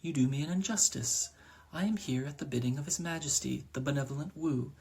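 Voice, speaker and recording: A man's voice: on the high side, a little fast, vocal fry, husky, pitched above the performer's own voice, full character voice. A man doing a soothing female crow. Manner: calm, soothing, formal. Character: alluring gentle female crow, soothing and devoted.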